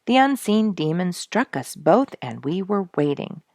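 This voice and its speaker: A woman narrating a storybook chapter aloud with expressive, dramatic emphasis. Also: on the low side, unhurried, no echo, studio quality.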